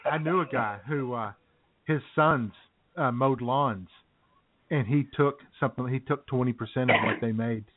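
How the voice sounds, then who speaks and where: A man's voice: medium pitch, moderate pace. A man co-hosting a comedy radio show, joking in a mock-serious way and laughing often. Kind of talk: casual comedy banter. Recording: tinny phone-like sound.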